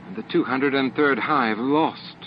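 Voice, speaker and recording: A man's voice: mid-range voice, measured pace, slight background noise, voice pushed up, close to an everyday voice. A man voicing a fierce, snappish elderly female alien scientist in an audiobook drama. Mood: defiant. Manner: snappish, forceful, righteous. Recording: dull lo-fi audio with music.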